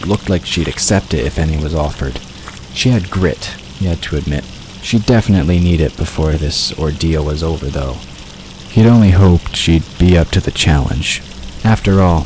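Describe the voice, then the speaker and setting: A man's voice: a bass voice, background noise. A man reading scripted fiction narration as an audiobook narrator, in a storytelling style. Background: outdoor ambience and water sound effects.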